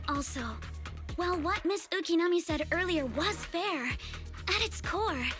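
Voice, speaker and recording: A refined young anime game noblewoman in a very high-pitched voice. She speaks formally and earnestly, with music clearly audible behind her. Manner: formal, proper, composed.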